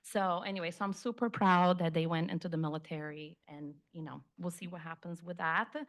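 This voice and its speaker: A woman's voice: fairly low pitch, fairly even intonation, brisk. A woman in her fifties from Brazil, a native Portuguese speaker, giving an informal, conversational talk about herself to an audience. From Rio de Janeiro, Brazil. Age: 50s. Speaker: guest speaker, community relations executive.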